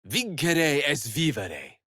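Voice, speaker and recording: A man's voice: a little high, sing-song, takes it slowly, pushed and bright, husky, no echo, studio quality, heavily acted. A man voicing a courteous, self-assured wizard companion in a video game, articulate and wryly theatrical. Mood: incanting. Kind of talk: video game character voice lines.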